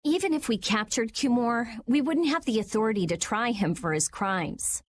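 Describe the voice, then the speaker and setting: Actress as blunt young game girl, caring underneath, slightly high voice, sharp-tongued. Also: moderate pace, close to an everyday voice. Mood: matter-of-fact. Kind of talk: video game cutscene acting.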